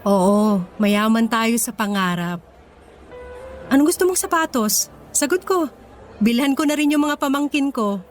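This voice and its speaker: A woman's voice: a little high, animated, unhurried, long pauses. A woman acting in a Filipino radio drama as a forgiving, grateful older sister, speaking in an earnest, sentimental way. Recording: music behind the voice.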